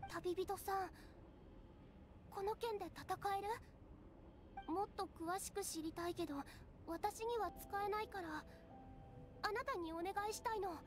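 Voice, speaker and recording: A woman voicing a shy, earnest young female game alchemist, soft and girlish, stammering and precise in her wording. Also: extremely high pitch, measured pace, long pauses. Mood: earnest, fascinated. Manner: hesitant stammering, formal and precise. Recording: game music behind the voice. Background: game music.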